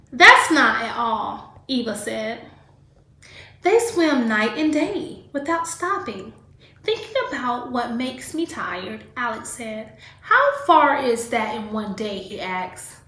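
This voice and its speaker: A woman teacher reading a story aloud to children expressively, with direct teaching asides. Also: a little high. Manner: deliberate, animated storybook delivery, addressing children directly. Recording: echoey room.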